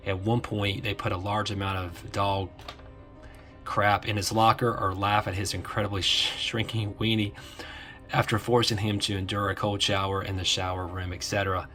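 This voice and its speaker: A man hosting an informal explainer commentary, halting as he repeats and restarts his phrases, with music behind him. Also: fairly low pitch, bright, forceful tone. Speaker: host of an eighties film nostalgia series.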